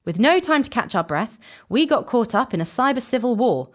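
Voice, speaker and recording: A woman's voice: on the high side, very animated, fairly quick. A woman playing a breezy, wry time-travelling companion, narrating an audio drama recap with casual, chatty asides. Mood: casual, brisk.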